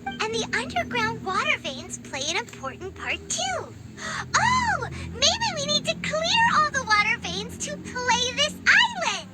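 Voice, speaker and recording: A woman voicing a tiny, cheerful, excitable fairy companion in an anime video game, eager to explain things. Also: extremely high pitch, a little slow, heavily acted. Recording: loud game music behind.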